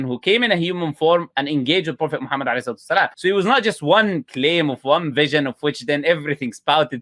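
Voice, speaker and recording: A man in a video call, explaining his faith in a rapid, insistent way, with short laughs between points. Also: fairly high pitch, a bit bright, no echo. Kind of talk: fast debate-style explanation.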